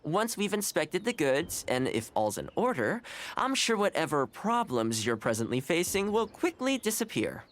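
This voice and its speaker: Composed young housekeeper with lively pitch. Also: male voice, normal speed, slight background noise. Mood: cool, pointed. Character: calm, shrewd young male housekeeper of a samurai clan. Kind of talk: video game voice acting.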